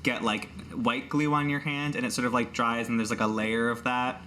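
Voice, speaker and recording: Bright-voiced man running a tabletop game. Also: mid-range voice, level tone, normal speed, a little hiss or hum. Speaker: game master and host. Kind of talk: tabletop game narration, scripted narration and casual asides.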